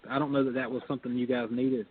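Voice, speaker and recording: A man's voice: medium pitch, level tone, racing, husky. A man speaking as a council member, putting questions in a budget meeting. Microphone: conference call. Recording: thin, tinny phone line.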